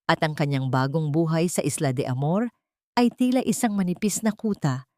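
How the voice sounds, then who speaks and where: A woman's voice: sits low, moderate pace, warm tone, dry recording, studio quality. A woman reading a scripted dramatic story as an audiobook narrator.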